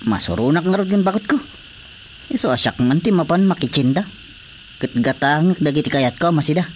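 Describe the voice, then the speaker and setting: A man's voice: on the high side, animated, brisk, a little hiss or hum, close to an everyday voice. A man playing a grumbling, jealous husband in an Ilocano radio drama comedy, with an Ilocano accent. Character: grumbling husband, jealous of his wife's cat.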